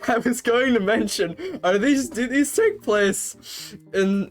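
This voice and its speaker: Sarcastic man with a slightly high voice. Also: fairly bright tone. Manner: sarcastic, self-interrupting asides with frequent laughs. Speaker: YouTuber reading fanfiction aloud. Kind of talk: comedic commentary and reading.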